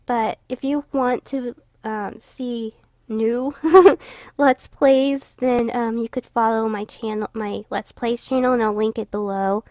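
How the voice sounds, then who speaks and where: A woman's voice: on the high side, steady tone, slow, darkish tone, dry recording. A young woman with a tired, stuffy voice, casually narrating her drawing with lots of fillers and restarts. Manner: rambling, many fillers and restarts. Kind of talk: casual voice-over commentary.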